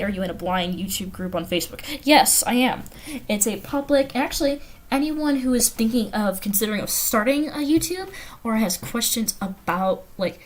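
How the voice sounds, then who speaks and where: A woman with an American accent hosting a livestream, chatting informally and giggly as she reads out viewer comments. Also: mid-range voice. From the Carolinas, United States. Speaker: livestream host. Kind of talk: casual livestream chat, reading viewer comments aloud. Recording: noisy home livestream audio.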